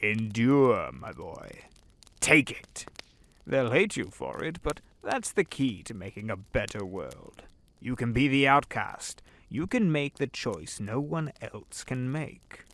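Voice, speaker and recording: A man's voice: mid-range voice, sing-song, takes it slowly, gravelly, fairly bright tone, exaggerated voice. An actor playing the Devil disguised as a genial older businessman, with pompous, theatrical bluster in a fan audio drama. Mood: grandly persuasive.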